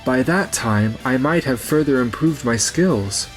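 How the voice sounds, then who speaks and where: A man's voice: medium pitch, animated, a little slow, faint music behind, lightly acted. A man playing a modest, earnest young car designer in a manga dub. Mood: earnest, polite. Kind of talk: anime-style manga dub acting.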